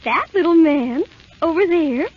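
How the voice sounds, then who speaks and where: A woman's voice: very high-pitched, lively intonation, a little slow. An actress playing a sweet, innocent young princess, polite and girlishly gushing, in a radio fairy tale. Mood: delighted. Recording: muffled old radio recording.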